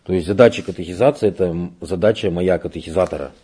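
A man working as a parish catechist, answering interview questions in an unhurried, explanatory way with frequent hesitations. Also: low voice, mellow, breathy edge. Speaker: church catechist. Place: parish room.

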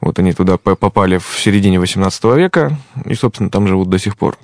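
A man, an ethnologist guest in an interview, explaining at a measured pace with frequent hesitation sounds. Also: sits low, animated, a little mellow, dry recording.

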